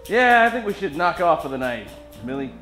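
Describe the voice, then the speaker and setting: Guy doing a tired boozy gumshoe, deadpan, slightly high voice, echoey radio play with music. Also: a little fast. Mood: wry, businesslike. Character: world-weary, hard-drinking male private detective, wry and self-deprecating. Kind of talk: old-time radio detective parody acting.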